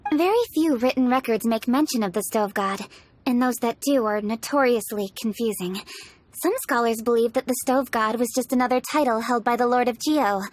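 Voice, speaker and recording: A woman's voice: fairly high pitch, natural voice. An actress playing a composed, dutiful young woman official in a video game, with formal, articulate phrasing. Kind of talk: scripted video game dialogue.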